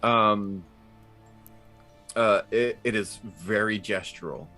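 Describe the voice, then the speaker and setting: A man with a fairly low voice talks through his turn in a tabletop game. He takes his time, casually working out his words as he goes, with faint music behind him. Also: fairly even intonation, slow pace, sharp tone. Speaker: player in a tabletop RPG. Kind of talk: casual improvised game chat.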